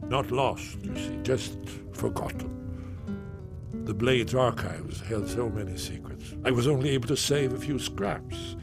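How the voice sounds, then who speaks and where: An actor voicing an elderly, excitable male loremaster in a video game, rambling theatrically as he explains. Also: mid-range voice, a little slow, gravelly, over loud music. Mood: musing.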